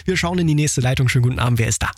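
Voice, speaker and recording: A man hosting a radio call-in show, chatting cheerfully and quickly with callers. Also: mid-range voice, a little hiss or hum. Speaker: radio host. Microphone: studio microphone.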